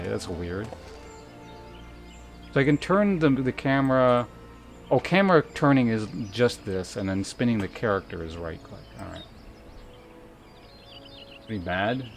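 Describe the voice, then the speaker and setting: Casual male game streamer over clear music. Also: medium pitch. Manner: rambling, thinking aloud, casually profane. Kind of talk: casual livestream chat while playing.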